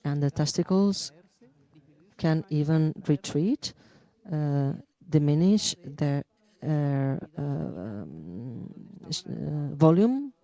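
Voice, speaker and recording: Halting man giving a medical lecture. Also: on the high side, big pitch swings, creaky, dark tone, lo-fi sound. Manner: halting, many fillers and restarts. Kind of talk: conference lecture.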